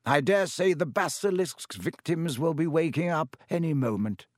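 Guy doing a gentle old wizard headmaster, lilting and lively, dry close sound. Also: fairly high pitch, dry recording, pitched above the performer's own voice, close to an everyday voice. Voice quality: gentle, measured, twinkling. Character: old male wizard headmaster, kindly and wise.

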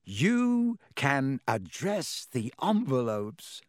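A man's voice: medium pitch, sing-song, extremely slow, no echo, studio quality. A man voicing a vain, jovial celebrity author in a storybook, with a pompous, drawling, theatrical manner. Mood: beaming.

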